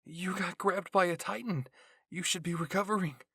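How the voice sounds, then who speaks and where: A man's voice: high voice, fairly even intonation, moderate pace, sharp tone, husky, no echo, studio quality, pitched above the performer's own voice, exaggerated voice. A man voicing earnest, worried young soldiers chiding their friends in an anime story, sounding fretful. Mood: concerned, chiding. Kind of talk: character voices in a fan fiction reading.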